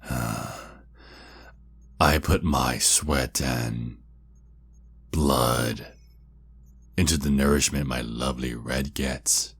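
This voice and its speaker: A man playing a possessive, menacing vampire kidnapper in an ASMR roleplay, speaking intimately and deliberately. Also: a bass voice, fairly even intonation, exaggerated voice. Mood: smug, teasing. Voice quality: gravelly, husky, breathy. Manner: slow, intimate, deliberate, with drawn-out pauses.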